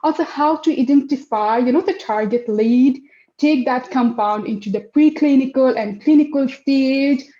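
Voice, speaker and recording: A woman's voice: sits high, normal speed, warm tone, reverberant, muffled recording. A woman in her twenties, originally from Afghanistan, answering interview questions in a hesitant way with many fillers and restarts. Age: 20s. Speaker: project manager, interview guest.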